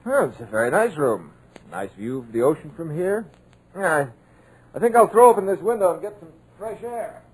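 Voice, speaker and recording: An actor playing a scriptwriter who muses aloud with folksy, wry asides, in 1940s radio drama style. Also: male voice, a little high, sing-song, moderate pace, breathy edge, poor audio. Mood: breezy. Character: wisecracking young male scriptwriter, nervous underneath.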